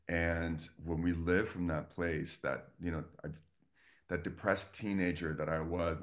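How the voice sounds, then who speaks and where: A man's voice: very deep voice, a bit bright. A man from Estonia, a guest coach, talking earnestly and effusively in a casual interview over a video call. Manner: earnest, effusive, many restarts.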